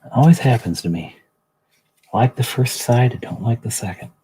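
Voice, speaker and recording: A man hosting a livestream, chatting casually and muttering quiet asides to himself as he paints. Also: mid-range voice, animated, moderate pace, breathy edge, rough recording. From Canada. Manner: soft, muttering to himself, drifting between quiet asides and chat. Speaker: livestream host painting miniatures. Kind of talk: casual chat while painting.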